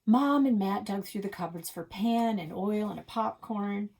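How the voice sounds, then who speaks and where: A woman's voice: mid-range voice, moderate pace, darkish tone. A woman reading a children's novel aloud as its first-person narrator, expressively, leaning on key words. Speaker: read-aloud reader for a public library.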